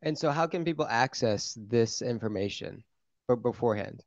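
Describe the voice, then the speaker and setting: A man's voice: mid-range voice, expressive, moderate pace, no room sound, dull audio. A young American man hosting casually, speaking into a close desk microphone. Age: young adult. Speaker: YouTube channel host. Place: small indoor home studio. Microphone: close desk microphone on boom arm.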